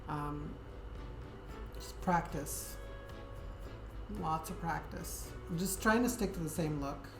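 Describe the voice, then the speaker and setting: Low-voiced woman streamer in an echoey room. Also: slow pace, over music. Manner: soft, friendly, hesitant with many ums. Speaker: Twitch streamer. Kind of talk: casual chat with viewers. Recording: echoey room with steady background noise.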